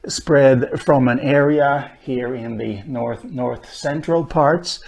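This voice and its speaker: A middle-aged man from Sweden, a linguist lecturing at a whiteboard in a room with bookshelves, speaking in a measured way with frequent pauses. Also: mid-range voice, gravelly, darkish tone. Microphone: camera at medium distance.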